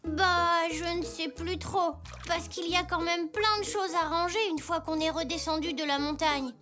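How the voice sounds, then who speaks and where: An actor voicing an eager, polite little boy zebra in a children's cartoon, with a bright childlike delivery. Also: very high-pitched, steady tone, moderate pace, soft background music. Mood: excited. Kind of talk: French preschool cartoon dialogue.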